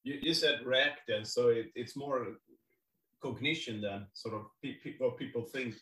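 A man's voice: mid-range voice, normal speed, a bit bright. Hesitant male host, echoey distant mic. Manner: hesitant, many fillers and repeated words. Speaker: podcast host. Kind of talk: casual interview hosting. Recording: echoey room, far from the mic.

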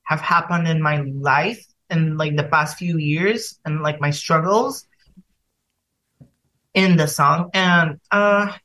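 Young adult, Bulgarian accent, native Bulgarian speaker, hesitant, lots of filler words, mid pitch. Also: slow, pushed and bright. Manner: hesitant, many fillers and restarts. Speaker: singer guest. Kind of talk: casual podcast chat.

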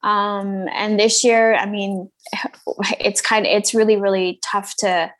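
A woman born in Scotland speaks with a North American accent that carries a faint Scottish trace. She talks casually about her music in an interview, pausing often and restarting her sentences, at an everyday middle pitch. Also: little pitch movement. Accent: North American with slight Scottish. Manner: hesitant, many ums and restarts. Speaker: singer being interviewed.

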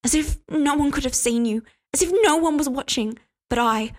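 A woman voicing a jealous, zealous young woman who pleads and shouts in high, strained bursts. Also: moderate pace, lightly acted. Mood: furious. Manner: shrill, pleading, rising to shouts.

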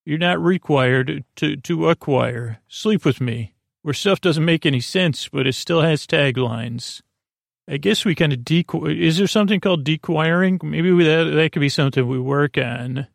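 A man with a creaky voice hosting a bedtime podcast, rambling hesitantly and often losing his thread. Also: a little high, moderate pace, no room sound, clean studio sound. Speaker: podcast host.

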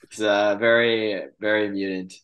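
A man co-hosting a podcast in casual chat, halting and often repeating words. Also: on the low side, little pitch movement, lo-fi sound. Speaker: podcast co-host.

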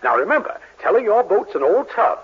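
Fluttery, husky, high-voiced timid schemer. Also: male voice, a little fast, heavily acted. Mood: urgent, coaxing. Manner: fluttery, excitable. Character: fussy, timid, scheming older man, easily flustered. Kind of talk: 1940s radio comedy acting. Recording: muffled vintage radio recording.

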